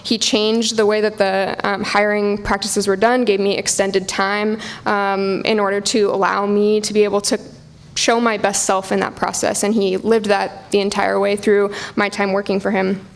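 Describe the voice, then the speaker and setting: An American woman speaks at a public hearing in a fairly flat, even tone. Her pitch sits in the middle for a woman, and she delivers prepared testimony earnestly, with small stumbles as she goes. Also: normal speed, vocal fry. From Portland, Oregon, United States. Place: public hearing in a university hall.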